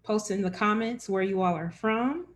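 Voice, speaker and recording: A woman's voice: mid-range voice, normal speed. A woman hosting a live-streamed information session as enrollment director, friendly and chatty with many fillers.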